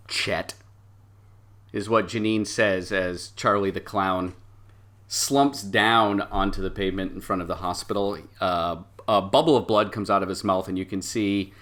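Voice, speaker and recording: A man with a fairly low voice leads a tabletop role-playing game. He narrates scenes and explains cards conversationally, pausing now and then as he finds his words. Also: a bit bright. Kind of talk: narrating a story game and reading card meanings aloud.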